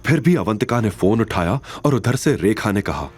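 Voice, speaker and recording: A man's voice: fairly low pitch, lively intonation, moderate pace, a little mellow. A man delivering scripted, dramatic narration for an audio story series.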